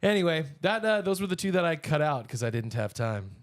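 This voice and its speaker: A man's voice: a little high, big pitch swings, a little fast, no echo. A man co-hosting a podcast, chatting informally through news stories in a casual, conversational way. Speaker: podcast co-host.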